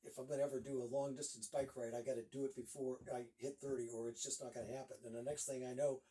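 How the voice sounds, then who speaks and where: A man's voice: mid-range voice, flat delivery, fast, mellow, recorded from a distance, low-quality recording. A man in his sixties from Northeast Ohio, a guest author chatting casually in an interview and rambling with lots of fillers.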